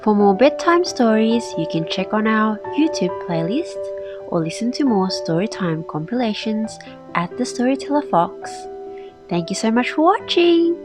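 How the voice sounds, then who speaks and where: A woman narrating a children's fairy tale aloud as a storyteller, in an expressive, deliberate manner. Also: on the high side, music in the background. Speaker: storyteller and channel host.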